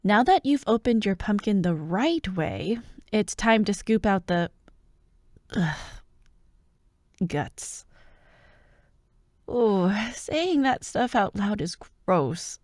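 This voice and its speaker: A woman playing a bubbly, bossy young childhood friend who loves Halloween, in an animated, teasing ASMR roleplay. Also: medium pitch, slow, pauses often, no echo, studio quality. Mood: squeamish, playful. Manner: animated, expressive, teasing, with laughs and sighs. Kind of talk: ASMR roleplay, one-sided acted conversation.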